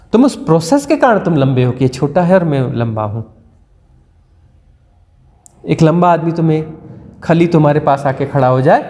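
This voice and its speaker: Male spiritual teacher, higher voice, mellow tone, repetitive discourse in a reverberant hall. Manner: repetitive, mimics inner self-talk, rhetorical questions. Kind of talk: satsang discourse.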